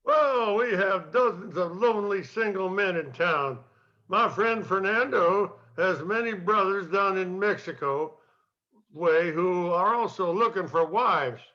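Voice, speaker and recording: Older man plays folksy sheriff; high, bright voice on lo-fi recording. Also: measured pace. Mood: easygoing. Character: folksy, easygoing small-town Wild West sheriff. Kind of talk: amateur old-time radio comedy acting.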